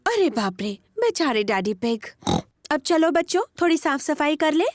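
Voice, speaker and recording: A woman playing a motherly, gently scolding mummy pig in a children's cartoon dub. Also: sits high, big pitch swings, moderate pace, dry recording, studio quality.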